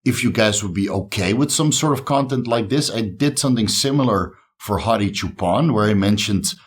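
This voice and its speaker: A man's voice: fairly low pitch, animated, normal speed. A man from the Netherlands with a Dutch accent and a slight speech impediment, talking casually and upbeat to his viewers as a YouTube host. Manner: upbeat, encouraging. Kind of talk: casual talk to camera.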